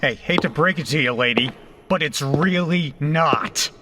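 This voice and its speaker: A man's voice: a little high, a little slow, bright, forceful tone, no echo. A man playing a brash, rattled young rocker in a horror audio drama, colloquial, incredulous and foul-mouthed. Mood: alarmed, pleading. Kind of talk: horror audio drama acting and first-person narration.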